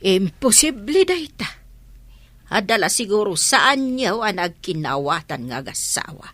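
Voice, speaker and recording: A woman's voice: medium pitch, very animated, unhurried, a bit bright, no room sound. A woman with an Ilocano accent playing an older, sensible mother who questions and reasons, in an audio drama. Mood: questioning. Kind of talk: Ilocano radio drama acting.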